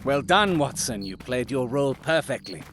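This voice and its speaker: A man's voice: mid-range voice, expressive, moderate pace, fairly bright tone, faint music behind, natural voice. An actor playing a confident, theatrical detective in an audio drama, precise and self-satisfied in how he speaks. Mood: self-assured, explanatory.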